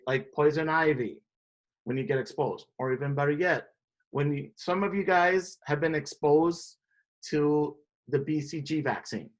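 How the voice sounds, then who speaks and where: This is an instructor from Indonesia teaching a live class. The delivery is explanatory and steady, pausing often to check in with the students before moving on. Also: medium pitch, poor audio. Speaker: biology instructor. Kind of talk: classroom lecture.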